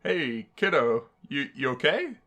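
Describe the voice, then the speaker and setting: A man voicing a laid-back, friendly skeleton in a video game, casual, unhurried and reassuring. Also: mid-range voice, sing-song, pushed and bright, full character voice. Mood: easygoing, reassuring.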